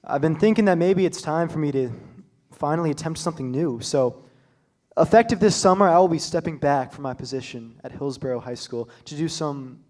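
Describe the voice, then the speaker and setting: A mild-mannered school dean speaks haltingly, with small filler pauses, played by a young man. His voice sits a little high, in the plain style of a staged reading. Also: expressive, a little mellow, natural voice. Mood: earnest. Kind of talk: amateur staged-reading acting.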